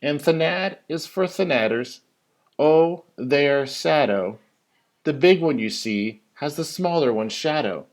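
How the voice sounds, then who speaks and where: A man who works as a teacher reads a children's story in rhyming verse, with an expressive, sing-song delivery that stresses key words. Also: on the high side, measured pace. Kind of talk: animated storybook read-aloud of rhyming verse.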